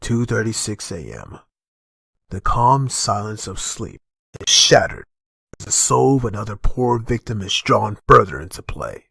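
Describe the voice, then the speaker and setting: A man reading dramatic scripted narration for a comic dub, with a husky, gravelly voice. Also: on the low side, sing-song, unhurried, pushed and bright, no echo.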